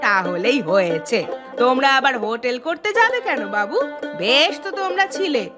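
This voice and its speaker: Shrill-pitched, spiteful village busybody. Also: female voice, lively intonation, over music. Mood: mocking, threatening. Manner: mocking, scolding, drawn-out. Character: jealous, meddling, spiteful village neighbour woman. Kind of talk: Bengali animated moral story acting.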